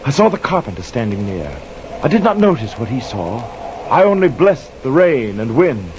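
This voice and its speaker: A man's voice: medium pitch, big pitch swings, moderate pace, a little mellow, natural voice. An actor playing a guilt-haunted sailor who narrates his cursed voyage in anguished, poetic radio drama style. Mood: hushed wonder. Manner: theatrical, poetic, anguished declaiming. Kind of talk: 1950s radio drama acting. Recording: muffled vintage radio recording, faint noise, rain.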